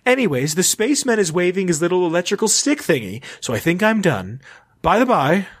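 A man performs a highfalutin mid-century housewife, raised in pitch and very lively in tune. She is pompous and genteel, dwelling on her words with cheerful politeness, as if recording a diary message in captivity. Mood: polite, apologetic. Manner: pompous, genteel, drawn-out emphasis.

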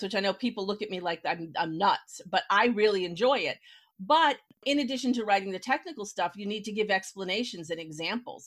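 Woman podcast host, medium pitch, chatting and explaining stuff in an encouraging way. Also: a bit bright. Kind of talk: conversational interview hosting.

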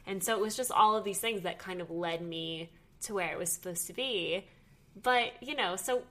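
A young woman hosting a podcast, chatting casually in a quick, reflective way. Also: on the low side, fairly bright tone. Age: young adult. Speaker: podcast host. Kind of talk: casual chat.

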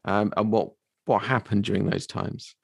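A man's voice: lowish voice, little pitch movement, vocal fry, breathy edge, no room sound. Courteous male podcast host. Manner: measured, courteous questioning. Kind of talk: scripted intro, then interviewing.

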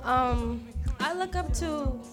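A seventeen-year-old girl, a singer in a music group, answering interview questions softly and with hesitation. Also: high voice, takes it slowly. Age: teens.

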